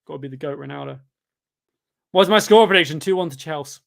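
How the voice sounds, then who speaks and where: A twenty-year-old man from Devon with a Devon accent, chatting casually and rambling on a football watchalong stream, with sudden loud outbursts at chances. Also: on the high side, fast, creaky. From England. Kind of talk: casual live-stream chat reacting to a match and reading chat.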